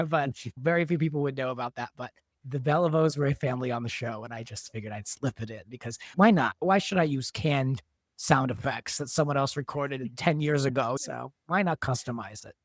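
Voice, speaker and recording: A man speaks at a middle pitch in a very dry recording. He talks as a writer and producer in a casual behind-the-scenes discussion, explaining things in a conversational way. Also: brisk, no room sound. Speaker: soap opera writer and producer, guest.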